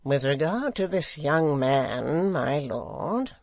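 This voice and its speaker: A reader voicing a meek but severe male secretary, a sly, sanctimonious flatterer with unctuous, drawn-out emphasis. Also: mid-range voice, gravelly, dry recording, heavily acted. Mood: sly flattery.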